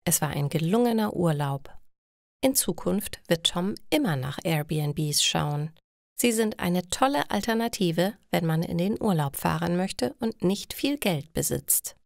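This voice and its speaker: Creaky-voiced woman narrating learner stories. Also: on the low side, animated, a little slow, a little mellow, dry recording, clean studio sound. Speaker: story narrator for German learners. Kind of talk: scripted storytelling narration for language learners.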